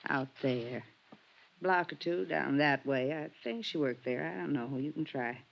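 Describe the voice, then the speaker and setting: Low, creaky-voiced, guarded landlady. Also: a little slow. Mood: grudgingly helpful. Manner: terse, ungrammatical, suspicious. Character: weary, guarded landlady of a shabby rooming house. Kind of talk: 1950s radio drama acting. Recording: muffled 1950s radio recording.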